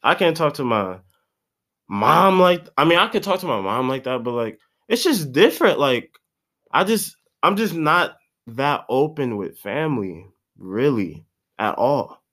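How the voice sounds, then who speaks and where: A man's voice: mid-range voice, sing-song, a little slow, a bit bright. A man of about twenty, congested, rambling in a slangy, profane solo podcast monologue. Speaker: podcast host.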